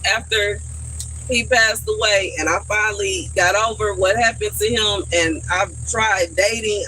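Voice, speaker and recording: A woman in her thirties on a casual livestream, telling stories in an animated, slangy way and laughing often. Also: mid-range voice, slight background noise, poor audio. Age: 30s. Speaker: livestream host.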